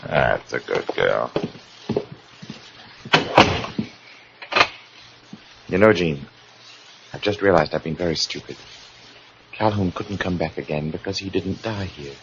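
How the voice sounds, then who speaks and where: An unhinged, volatile husband, old radio thriller. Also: male voice, low-pitched, very animated, vocal fry, a little hiss or hum, full character voice. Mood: coaxing, menacing. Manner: theatrical, halting, swinging from muttering to frantic cries. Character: obsessed, unhinged husband researching ghosts, secretly murderous. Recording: dull vintage radio recording with music.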